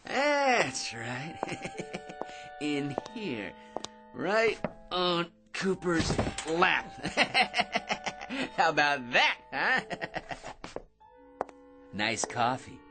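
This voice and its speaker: A boastful, excitable schemer from an old-time radio play. He wheedles in slangy patter with big swings of pitch. Music runs underneath. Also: extremely slow, long pauses, heavily acted. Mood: gloating. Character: cocky, excitable working-class dreamer man with grand schemes.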